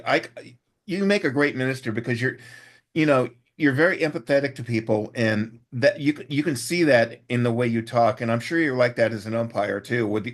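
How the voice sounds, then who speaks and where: Give me a male podcast host with a mid-range voice who asks friendly, halting questions. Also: mid-range voice. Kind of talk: interview questions.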